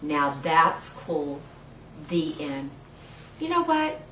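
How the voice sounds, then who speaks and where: Older female children's storyteller, playful, simple and encouraging delivery, mid-pitched, recorded in a roomy space with faint noise. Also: sing-song, extremely slow, a bit bright, distant mic. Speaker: children's story time host. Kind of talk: read-aloud storytelling with chat to young children.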